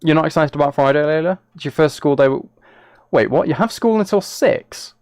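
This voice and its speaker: A man's voice: a little high, expressive, brisk, a little mellow, no room sound, clean studio sound. A man chatting casually on a live game stream, thinking aloud as he plays and replying to viewers.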